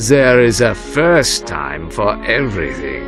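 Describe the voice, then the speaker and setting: An actor playing a scheming male villain butler, speaking in a smooth, sly and menacing way, in a game cutscene with loud music. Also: lowish voice, sing-song, slow pace, exaggerated voice.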